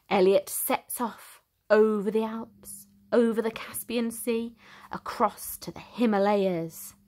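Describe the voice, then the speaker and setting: A woman reading a children's storybook aloud in an expressive, theatrical way with deliberate emphasis. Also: fairly high pitch. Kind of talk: dramatic storytime narration for young children.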